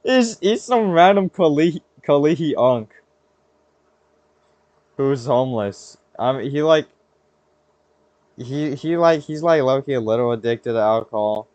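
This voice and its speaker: A young American man talks in a dry-sounding space with an average-pitched male voice. His delivery is loose and conversational, full of slang. He rambles and interrupts himself as he works through a thought, like a podcast host chatting with friends. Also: expressive, a little slow, pauses often, darkish tone, no echo. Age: young adult. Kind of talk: casual chat.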